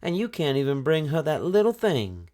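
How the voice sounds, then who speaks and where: Scolding country mother, played by a man, raised pitch. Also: big pitch swings, normal speed, darkish tone. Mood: angry scolding.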